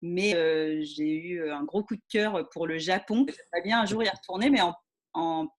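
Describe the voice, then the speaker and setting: A woman's voice: lowish voice, normal speed. A woman in her thirties, a teacher, chatting in an interview with many hesitant fillers. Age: 30s. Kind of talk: casual conversational interview.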